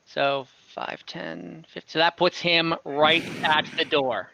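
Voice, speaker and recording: A man's voice: on the high side, fairly even intonation, slow pace, vocal fry, pushed and bright, dry recording, poor audio. A man acting as dungeon master in an online tabletop game, talking casually and counting out moves aloud.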